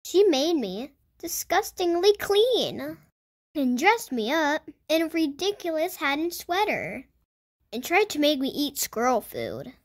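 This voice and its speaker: A woman reading a picture book aloud to children in an animated storytelling style. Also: sits high, unhurried. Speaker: children's storybook reader and host. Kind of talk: animated read-aloud narration for kids.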